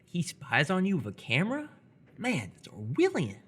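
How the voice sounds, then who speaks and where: A man playing a nervous, incredulous 17-year-old boy in live radio theatre, hesitant and apologetic with sardonic outbursts, in a husky voice. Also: sits high, wildly swooping pitch, normal speed, fairly bright tone, exaggerated voice. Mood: alarmed, incredulous.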